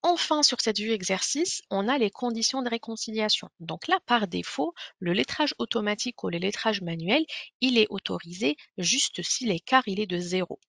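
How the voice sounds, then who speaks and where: Bright, creaky-voiced woman presenting software. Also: medium pitch, expressive, moderate pace, no echo, studio quality. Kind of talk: software demo walkthrough.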